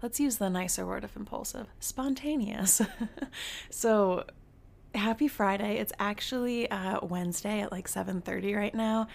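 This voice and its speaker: A young woman in her twenties hosting a casual solo podcast, her voice raspy from just waking up, chatty and giggly with many fillers. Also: medium pitch, moderate pace. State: raspy morning voice. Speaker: podcast host.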